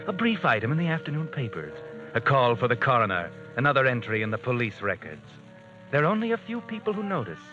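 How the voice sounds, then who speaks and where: An actor playing a mysterious, all-knowing night-walker who narrates dark tales in an ominous, theatrical 1940s radio manner. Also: male voice, mid-range voice, very animated, quick pace, lightly acted. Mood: sinister, knowing. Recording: dull vintage radio recording, music behind.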